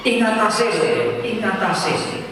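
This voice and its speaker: A man's voice: high voice, fairly even intonation, a little slow, sharp tone. A man giving a public speech as an official, often repeating phrases for emphasis. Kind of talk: public speech at a book launch. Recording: very echoey hall, distant mic, faint noise.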